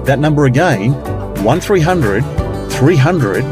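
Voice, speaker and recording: An Australian man reads a friendly radio promotion in a mid-range voice. Clear guitar music plays behind him. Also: mid-range voice, lively intonation, takes it slowly.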